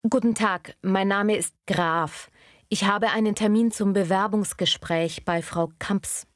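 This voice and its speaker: A woman acting a courteous, eager bookkeeper applying for a job in a language-learning dialogue. She enunciates clearly at a medium pitch. The recording is dry and close. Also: steady tone, unhurried, no echo, close to an everyday voice. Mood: polite, pleased.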